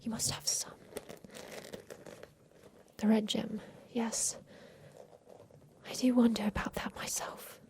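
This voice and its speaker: A woman playing a doting, eccentric mansion inventor in a soft, deliberate ASMR roleplay with formal, old-fashioned phrasing. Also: on the high side, level tone, gravelly. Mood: conspiratorial, brooding. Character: doting eccentric mansion inventor, plotting against her captor.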